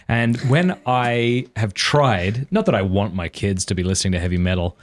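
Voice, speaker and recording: A man's voice: fairly low pitch, normal speed, dry recording. A man in his thirties hosting a podcast, talking candidly in a rambling, self-deprecating way. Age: 30s. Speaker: podcast host. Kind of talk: casual conversational chat.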